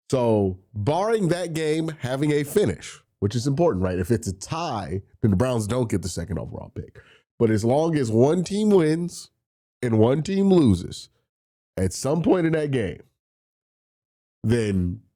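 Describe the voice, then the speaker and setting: A man with a head cold hosts a solo sports talk show, his voice nasal and a little breathy. He speaks in a low, mellow, darker tone. He explains draft rules step by step. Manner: explanatory, walks through tiebreaker rules step by step. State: congested from a cold. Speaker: sports channel host.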